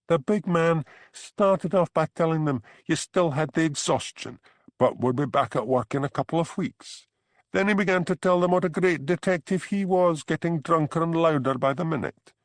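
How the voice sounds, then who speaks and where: A man voicing a gossipy Scottish village man who tells tales in an animated way, in an audiobook. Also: a little high, normal speed, dry recording, voice pushed up, close to an everyday voice. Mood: gleeful gossip. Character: Archie, gossipy village man, fond of a dram. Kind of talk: audiobook character dialogue.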